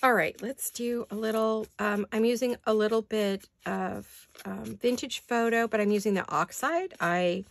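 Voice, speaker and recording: An older woman with a raspy voice chatting casually in her home craft studio, rambling and thinking aloud as she works. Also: on the high side, unhurried, hardly any pauses, no room sound. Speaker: craft vlogger. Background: neighborhood garbage-day banging.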